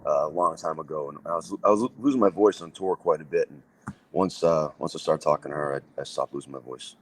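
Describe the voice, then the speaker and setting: A man, answering interview questions in a relaxed, plain-spoken way with many ums and restarts. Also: extremely low pitch, a little fast. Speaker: metal band vocalist, guest.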